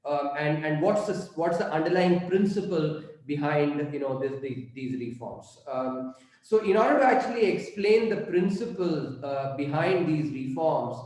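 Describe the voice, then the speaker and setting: A middle-aged man from southern India, a native Tamil speaker with an Indian English accent, gives an unscripted college lecture, pausing often with fillers and restarts. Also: fairly high pitch, expressive, a little mellow. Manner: halting, many fillers and restarts. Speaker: guest speaker on economics. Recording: very echoey room.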